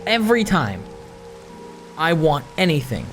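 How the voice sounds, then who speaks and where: A man giving a casual, introspective monologue over gameplay, speaking in a halting, musing way and repeating phrases for emphasis. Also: on the high side, very animated, soft background music. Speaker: host of a gaming video series.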